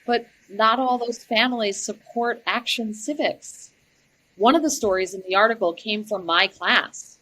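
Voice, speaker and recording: An actress playing a young, troubled new teacher in an audio drama, speaking earnestly and reflectively. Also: female voice, fairly high pitch, unhurried, a bit bright, low-quality recording. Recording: clear background noise and ambience.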